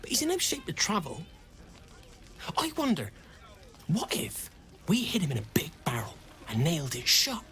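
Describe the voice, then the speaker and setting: A man's voice: a little high, huge pitch swings, moderate pace, pauses often, bright, forceful tone, exaggerated voice. An actor playing a small, sly, sentimental male creature with a whiny, conspiratorial manner. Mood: plaintive.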